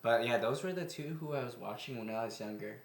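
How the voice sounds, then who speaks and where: A man's voice: medium pitch, fast talker, vocal fry, echoey room. A man in his twenties co-hosting a casual podcast, rambling with lots of filler words and laughing often. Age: 20s. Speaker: podcast co-host.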